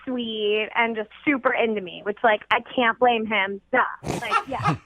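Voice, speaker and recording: High-voiced, self-assured young American woman caller. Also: fairly even intonation, measured pace, a loud crowd. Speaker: radio show caller. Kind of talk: casual chatty storytelling. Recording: dull lo-fi phone call.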